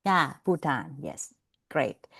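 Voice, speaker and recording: A woman's voice: sits low, big pitch swings, slow pace, gravelly, a bit bright, slightly rough. Lady teaching a zoom class, chatty, keeps saying okay and you know. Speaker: lecturer. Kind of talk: live online class over video call. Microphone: video call.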